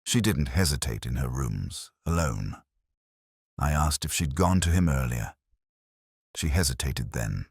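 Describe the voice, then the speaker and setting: A man reading a scripted first-person detective story in a brooding, measured, literary manner. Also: extremely low pitch, animated, slightly rough, dry recording, studio quality. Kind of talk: scripted literary mystery narration.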